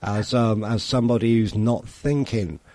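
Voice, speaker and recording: A man's voice: on the low side, steady tone, normal speed, warm tone, dry recording. Thoughtful man explaining in a dry close sound. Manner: thoughtful, explanatory, asks for agreement. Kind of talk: casual podcast conversation.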